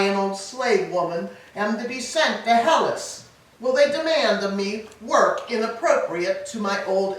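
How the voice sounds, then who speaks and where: A woman's voice: medium pitch, a bit bright. A woman playing a grieving, proud old enslaved queen, declaiming laments in a staged reading of Greek tragedy. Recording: distant, echoey hall with background noise.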